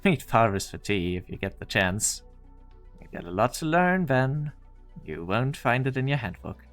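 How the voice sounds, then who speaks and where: A man's voice: medium pitch, sing-song, moderate pace, a bit bright, soft background music. A man playing a haughty, impatient research director in a game fan dub, coldly commanding and curt. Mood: condescending. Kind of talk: scripted anime game dub acting.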